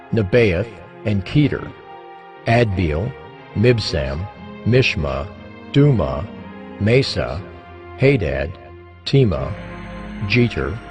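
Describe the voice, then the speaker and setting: A man reading scripture as scripted, dramatized narration, in a solemn, measured manner with deliberate pauses. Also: fairly low pitch. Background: background music.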